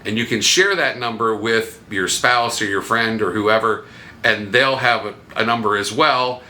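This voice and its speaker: Bright, forceful low-pitched man explaining casually. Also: unhurried, low-quality recording. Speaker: vlog host giving cruise travel tips. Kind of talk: casual explainer talk to viewers.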